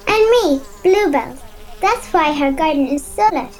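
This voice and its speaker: A woman's voice: sits high, lively intonation, a little slow, darkish tone. A woman voicing a fussy little female bluebell flower in a children's audio drama. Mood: chatty.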